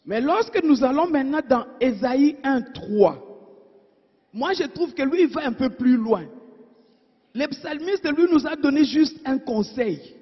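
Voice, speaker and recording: A woman preacher delivering a sermon, asking her congregation rhetorical questions and swinging between emphatic and hushed delivery. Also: sits high, expressive, a little slow. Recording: dull sound in a reverberant church hall.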